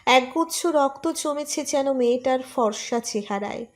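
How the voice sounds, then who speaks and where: Female narrator for scripted romance audiobook; high-pitched voice, storytelling delivery. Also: a little slow.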